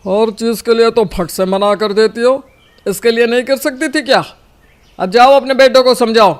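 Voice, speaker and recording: A man's voice: extremely high pitch, fairly even intonation, moderate pace. A man voicing a grumbling, fault-finding middle-aged father in a cartoon family drama. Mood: scolding.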